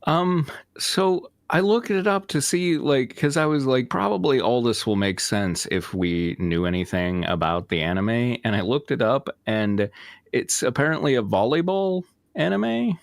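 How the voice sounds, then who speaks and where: A man's voice: mid-range voice, expressive, a little slow, vocal fry, no room sound, clean studio sound. A man chatting casually as a comedy panelist, explaining in a rambling, tentative way. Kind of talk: casual chat.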